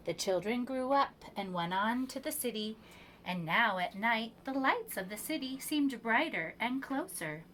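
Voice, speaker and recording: Slow, higher-voiced woman reading a storybook. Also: slight background noise, rough recording. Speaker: preschool storyteller. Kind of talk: reading a children's picture book aloud.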